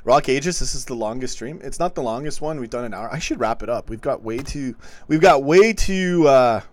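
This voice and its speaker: A man's voice: mid-range voice, animated, brisk, slight background noise. A man hosting a livestream, reading chat comments aloud and arguing back in a casual, combative way. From not yet been to Liverpool. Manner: argumentative, reacts to chat comments, laughs often.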